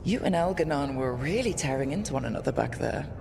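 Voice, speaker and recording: A steady team leader in a fantasy game. The mid-range voice is plain-spoken and perceptive, with understated conversational delivery. Ambient rumbling is clearly audible underneath. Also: fast, noisy background. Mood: frank. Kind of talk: naturalistic video game acting.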